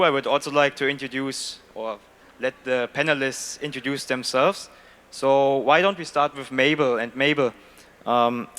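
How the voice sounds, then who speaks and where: A man's voice: mid-range voice, a bit bright. A man with a German accent moderating a conference panel, upbeat and chatty with many fillers. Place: conference stage.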